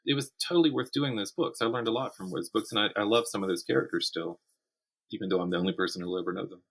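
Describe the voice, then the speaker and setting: A man speaking as a writer guest in a conversational interview, telling things in an animated way and voicing imagined quotes. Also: lowish voice, quick pace, slightly rough.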